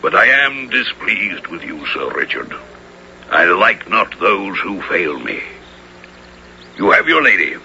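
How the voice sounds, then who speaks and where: Deep, cutting, imperious lord. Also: male voice, sing-song, takes it slowly, sharp tone, exaggerated voice. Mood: cold displeasure. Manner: commanding, archaic diction. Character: cold, imperious, displeased medieval lord. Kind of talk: 1970s radio drama acting. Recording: dull radio recording, faint noise.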